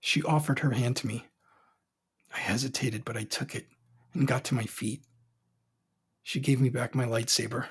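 A man's voice: mid-range voice, fairly even intonation, normal speed, pauses often, slightly rough. An actor playing a proud, grieving young emperor in an audio drama, brooding and formal in his delivery. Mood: brooding, sorrowful. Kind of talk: audio drama acting with first-person narration.

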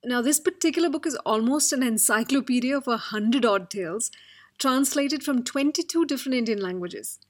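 Brief: woman, slightly high voice, clear and informative. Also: brisk. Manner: informative, steady. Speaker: podcast producer. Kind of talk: recommending books on a podcast.

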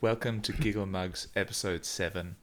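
A man's voice: sits low, steady tone, normal speed, crowd noise. A man co-hosting a podcast in casual, joking, teasing banter over an internet call. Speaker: podcast co-host. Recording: below-par remote call, crowded background noise.

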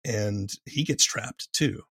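A man's voice: fairly low pitch, slow, fairly bright tone, husky, no room sound, clean studio sound. A man hosting a conversational interview, thoughtful and a little hesitant in his phrasing. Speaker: interviewer, host.

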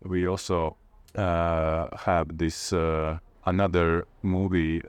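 A man's voice: sits low, level tone, slow pace, smooth, no room sound. A man from Latvia with a Latvian accent, speaking conversationally as an interviewee and often searching for his words. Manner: hesitant, searching for words with repeated starts. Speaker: interviewee, literature lecturer.

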